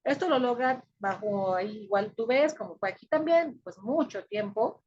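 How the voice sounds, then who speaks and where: A woman's voice: a little high, lively intonation, normal speed, darkish tone, slightly rough. A woman with a Mexican accent teaching a recorded lesson. She explains step by step, with many fillers and frequent check-ins with the listener. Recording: dull lo-fi recording.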